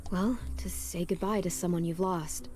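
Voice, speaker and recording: A woman's voice: on the low side, fairly even intonation, normal speed, mellow, natural voice. A woman playing a caring, protective teenage girl in a video game drama, speaking patiently and steadily. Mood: tender, patient.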